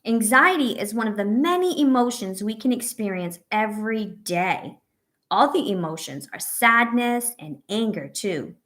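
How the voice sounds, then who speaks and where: A woman reading a picture book aloud to young children as a teacher, pausing to ask questions and offer encouragement and reassurance. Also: medium pitch, lively intonation, a bit bright. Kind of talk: read-aloud story with asides to young children.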